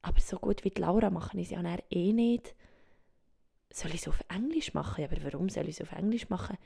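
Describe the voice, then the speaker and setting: A Swiss woman speaking German with a Swiss German accent, hosting a reflective solo podcast, thinking aloud softly and often dropping to a whisper. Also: fairly low pitch, quick pace, vocal fry, slightly rough. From Switzerland. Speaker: podcast host. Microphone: home microphone.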